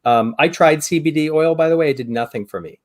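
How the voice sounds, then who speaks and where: An American man in his fifties chatting on a podcast over a video call, reflective and wry, pausing as he works through his thoughts. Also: fairly high pitch. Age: 50s. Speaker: podcast co-host or guest. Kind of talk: conversational podcast discussion. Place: indoor room on a video call.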